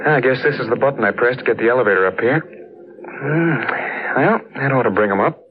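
An actor playing a plain-spoken, earnest young American husband in a 1940s radio drama, with a folksy, boyish manner. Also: male voice, medium pitch, brisk, close to an everyday voice. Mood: easygoing. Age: young adult. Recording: muffled, noisy old radio recording.

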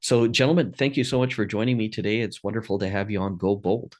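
Low-voiced male podcast host. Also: steady tone, quick pace, a little mellow. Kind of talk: podcast interview.

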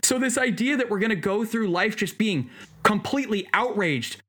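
A woman co-hosting a podcast, chatting casually about politics in an animated, conversational way. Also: mid-range voice, normal speed. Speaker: podcast co-host. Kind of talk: casual chat, political commentary.

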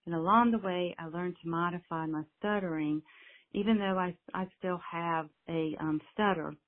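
A woman's voice: on the low side, normal speed. A middle-aged woman who stutters, a speech-language pathologist, telling her own story in a voicemail. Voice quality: stutters, with some repeated words. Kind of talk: recorded voicemail, personal story. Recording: tinny phone line voicemail.

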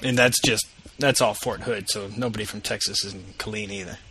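A man's voice: fairly low pitch, steady tone, brisk, crowd noise, poor audio. A man hosting a podcast, reading a casual news roundup and interviewing a guest in an upbeat way. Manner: casual and upbeat, with easy laughs. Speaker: podcast host.

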